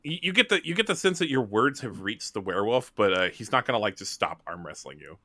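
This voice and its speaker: A man's voice: on the low side, sing-song, quick pace, bright, forceful tone, no echo, studio quality. A man hosting and narrating a tabletop game in a casual, improvised, conversational way.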